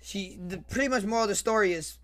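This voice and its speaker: Young adult man, livestreamer, animated, foul-mouthed, slangy, repetitive phrasing, close desk mic in a bedroom. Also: high voice, fast. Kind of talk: casual livestream read-aloud with commentary. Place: small bedroom, indoors. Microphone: close desk microphone with headset.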